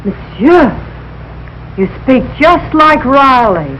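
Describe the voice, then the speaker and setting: An actress playing an exasperated but affectionate American housewife, in old broadcast sitcom style. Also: female voice, high voice, very animated, takes it slowly, a bit bright, dull audio, full character voice. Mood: puzzled. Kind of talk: 1940s-50s broadcast sitcom acting. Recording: noisy old broadcast recording.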